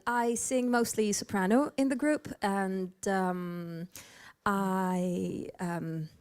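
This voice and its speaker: A woman's voice: medium pitch, darkish tone. A woman from Italy, a native Italian speaker and soprano singer, introducing herself casually. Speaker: soprano singer in a vocal group.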